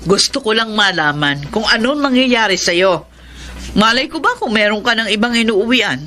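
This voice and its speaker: A woman's voice: on the high side, lively intonation, moderate pace, bright, forceful tone. An actress playing a hurt, meek middle-aged wife and mother in a Filipino radio drama. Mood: worried, pleading. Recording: noisy radio drama, sound effects behind.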